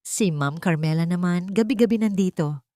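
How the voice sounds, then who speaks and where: A woman's voice: lowish voice, moderate pace, warm tone, no echo, studio quality, lightly acted. A woman with a Filipino accent voicing a hesitant, resigned older woman in a dramatic story. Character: hesitant older woman sharing sad news.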